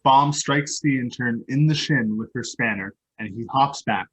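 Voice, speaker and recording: A reader of unclear sex giving scripted stage directions at a play table read, with a husky voice. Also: medium pitch, moderate pace, rough recording.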